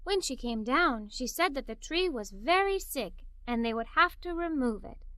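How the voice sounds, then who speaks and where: A woman reading a children's story in an expressive, scripted narrating style. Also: sits high, moderate pace, no echo. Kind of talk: scripted read-aloud story narration for kids.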